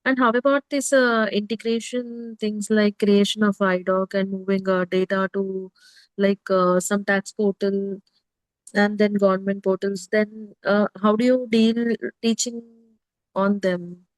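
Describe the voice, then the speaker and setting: A woman asking questions as a trainee, in halting, hesitant phrasing. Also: mid-range voice, steady tone, no echo, poor audio. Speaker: prospective trainee.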